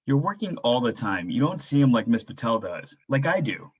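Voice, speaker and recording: A man playing a stressed stay-at-home father in a staged drama reading, earnest, terse and quietly frustrated. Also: big pitch swings, normal speed, breathy edge. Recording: dull, low-fidelity microphone sound.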